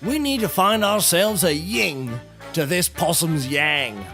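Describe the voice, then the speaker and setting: A pompous yet genial eccentric taxidermist, theatrical and florid. The voice is high and swoops widely as he holds forth in an audio comedy, with faint music behind. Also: animated, slow pace, sharp tone, exaggerated voice. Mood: grandiose. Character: grandiose, genial eccentric male landlord and amateur taxidermist. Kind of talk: scripted audio comedy acting.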